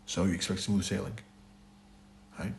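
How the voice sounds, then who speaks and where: A man with a very deep voice, worn raspy and hoarse from a long lecture. He teaches trading bluntly, hammering his points and checking in with a quick "right?". His delivery is emphatic and insistent. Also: steady tone, a little hiss or hum. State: hoarse, throat hurting. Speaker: trading instructor.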